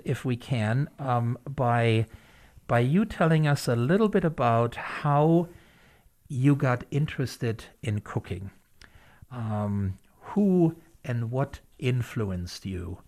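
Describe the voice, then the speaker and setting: Male podcast host, mid-pitched, measured read of a scripted intro. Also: lively intonation, a little mellow. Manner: measured, reads a prepared introduction.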